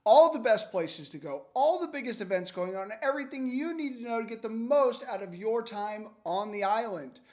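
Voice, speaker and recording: A man's voice: very high voice, moderate pace, few pauses. A man hosting a local podcast, reading an upbeat intro and then chatting casually with his co-host. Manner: upbeat scripted intro, then relaxed chat.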